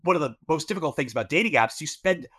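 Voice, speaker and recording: A man with a bright, forward voice talks through his ideas in a casual podcast chat. He explains thoughtfully, leaning on fillers as he goes. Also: fairly high pitch, lively intonation, fast, husky. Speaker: podcast co-host.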